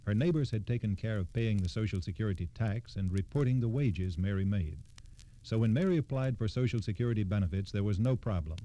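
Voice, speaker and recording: An American man tells a scripted story in the old-time radio manner. The voice is fairly low, with an extremely dark and soft-edged tone. His delivery stays formal and factual. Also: fairly quick.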